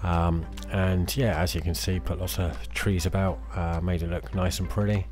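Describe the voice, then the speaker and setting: A man, slightly hoarse from losing his voice, casually talking through his city-building game with lots of fillers. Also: low-pitched, moderate pace. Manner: relaxed, self-deprecating, many fillers. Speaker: let's play host. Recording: music playing behind the voice.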